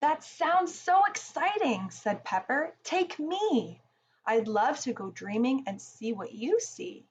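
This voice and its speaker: A woman reads a rhyming picture book to children at an unhurried pace. Her fairly high voice moves expressively up and down, with faint noise behind it. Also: breathy edge, low-quality recording. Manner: deliberate, expressive storytelling in rhyme.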